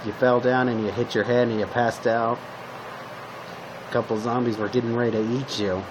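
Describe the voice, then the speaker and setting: A man improvising a casual roleplay monologue as a calm zombie apocalypse survivor, talking to the listener in short, hesitant fragments. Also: medium pitch, normal speed, noisy background. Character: calm male zombie apocalypse survivor giving weapon tips. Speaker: roleplay video creator playing a zombie survivor.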